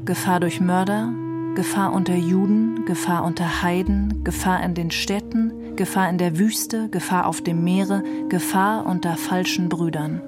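A woman reading literary prose in a measured, solemn manner for a radio feature. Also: on the low side, fairly even intonation. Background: music under the reading.

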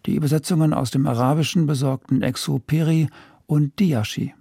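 A man's voice: a little high, steady tone, fairly quick, gravelly, dark tone, slightly rough, dry recording, studio quality. A man reading a scripted introduction as a radio presenter.

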